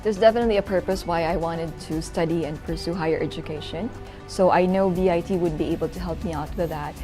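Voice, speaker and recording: A woman's voice: on the low side, steady tone, fast talker. A young woman with a Filipino accent, a master's student giving a promotional testimonial. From Philippines. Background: background music.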